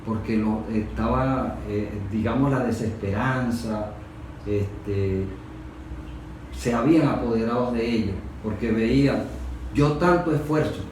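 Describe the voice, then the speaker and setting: Venezuelan male worker, halting explainer who repeats for emphasis; heavily echoing room, distant mic. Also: mid-range voice. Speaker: industrial worker giving testimony. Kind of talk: unscripted panel discussion. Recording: very echoey room, distant mic, faint noise.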